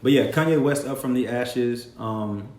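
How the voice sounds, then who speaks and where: A man's voice: medium pitch, moderate pace, darkish tone. A man guest-hosting a podcast in a casual, playful way, often speaking to his listeners as y'all. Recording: echoey room with faint noise.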